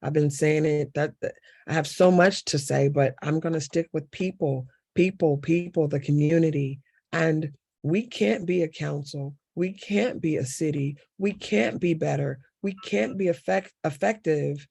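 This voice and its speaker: Gravelly, impassioned woman candidate. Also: low-pitched, a little slow, darkish tone, dry recording. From Southern United States. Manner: impassioned, repeats key words for emphasis. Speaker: city council candidate. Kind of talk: panel interview answer.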